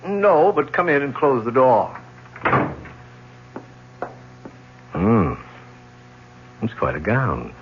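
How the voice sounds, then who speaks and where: An imperious middle-aged tycoon in a radio play. His delivery is cool and commanding, with lively swings of pitch. The old broadcast sound is dull, with faint noise. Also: slow. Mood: smooth, commanding. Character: ruthless, domineering middle-aged male business tycoon. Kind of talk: 1970s radio drama acting.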